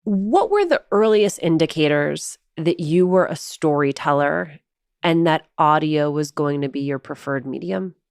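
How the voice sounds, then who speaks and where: A woman hosting a podcast interview, asking measured, conversational questions. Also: sits low, animated, a little mellow, no echo, studio quality. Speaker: podcast host.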